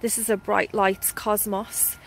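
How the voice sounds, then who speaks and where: A woman's voice: medium pitch, little pitch movement, measured pace, gravelly, a little hiss or hum. A woman with an Australian accent giving a casual, enthusiastic walkthrough of her garden, with lots of ums, recorded outdoors on a handheld phone. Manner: chatty and enthusiastic, frequent ums. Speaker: gardening vlogger. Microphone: handheld phone camera.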